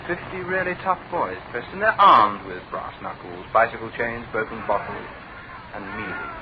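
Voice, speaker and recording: An actor with a British accent playing a coolly menacing, sardonic private detective who gives clipped commands in a radio drama. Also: male voice, lowish voice, lively intonation, moderate pace, natural voice. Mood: threatening. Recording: thin phone-like old radio recording, crowd-like noise.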